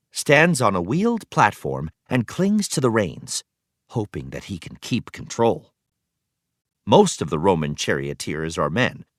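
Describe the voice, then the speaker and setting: Expressive man reading aloud, swooping intonation. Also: medium pitch, no echo, clean studio sound. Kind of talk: scripted educational read-aloud narration.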